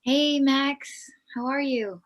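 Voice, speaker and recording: A woman's voice: high voice, slow pace, poor audio. A woman playing a tentative, pitying teenage ex-girlfriend in a comedy table read. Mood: pained sympathy. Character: hesitant, pitying teenage girl, reluctant ex-girlfriend.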